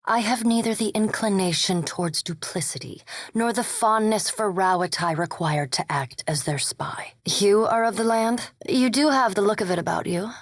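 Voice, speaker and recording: A woman playing a coolly polite, scholarly vampire animancer in a video game, speaking in a measured, formal and precise way. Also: mid-range voice, dry recording, studio quality, close to an everyday voice. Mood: dry, composed, then softly reflective. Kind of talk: video game character dialogue.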